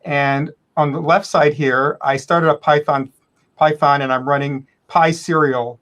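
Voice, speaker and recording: A man's voice: a little high, fairly even intonation, unhurried, fairly bright tone. A man giving a casual technical demo as a developer, explaining step by step and correcting himself as he goes. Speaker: software developer demonstrating work.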